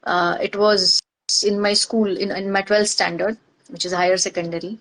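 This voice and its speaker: A middle-aged woman from Maharashtra, India, whose first language is Marathi, speaking as a guest and pausing often as she reflects on her past. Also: medium pitch, level tone, fairly bright tone, poor audio. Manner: hesitant, many pauses and fillers. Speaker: guest, organizational psychologist. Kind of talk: reflective personal interview.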